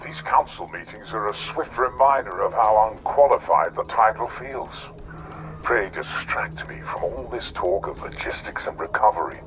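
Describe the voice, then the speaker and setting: An actor playing a newly promoted space marine captain, weary of council duties. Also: male voice, fairly low pitch, moderate pace, fairly bright tone, a little hiss or hum, close to an everyday voice, low-quality recording. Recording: game cutscene with music.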